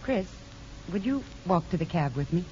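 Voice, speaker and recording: Actress as mellow, low-voiced married woman. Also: lively intonation, normal speed, natural voice. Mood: tactful, concerned. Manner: frank, tactful, affectionate. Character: frank, teasing married sister, mother of twins. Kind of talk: 1950s radio drama acting. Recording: muffled, noisy old radio broadcast.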